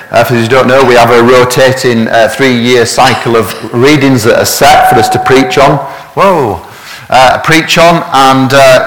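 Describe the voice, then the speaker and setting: Yorkshire-accented minister preaching in reverberant hall. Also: male voice, mid-range voice, fairly bright tone. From Yorkshire, England. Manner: conversational, with frequent fillers and restarts. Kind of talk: sermon. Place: church.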